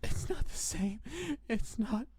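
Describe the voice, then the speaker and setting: A woman voicing a fragile, frightened young skeleton brother in a comic dub, halting and repeating words in anguish. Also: mid-range voice, expressive, mellow. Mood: haunted. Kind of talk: animated comic dub acting.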